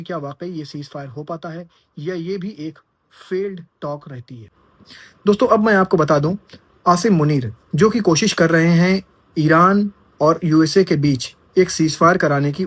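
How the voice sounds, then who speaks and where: Male news commentator, husky voice, dry close sound, friendly direct address. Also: fairly high pitch, moderate pace, fairly bright tone, no room sound. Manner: addresses viewers as friends, rhetorical questions.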